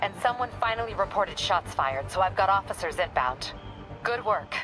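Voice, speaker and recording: A woman's voice: medium pitch, animated, moderate pace, close to an everyday voice, low-quality recording. A woman playing a businesslike, by-the-book police captain in a video game, with music behind her. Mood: brisk. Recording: loud music over the voice.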